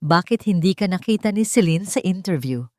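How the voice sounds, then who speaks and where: A woman voicing a stern, anguished and suspicious wealthy father in an audio drama, with a sharp, interrogating manner. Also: on the low side, animated, brisk, no room sound, clean studio sound, natural voice. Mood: cold suspicion.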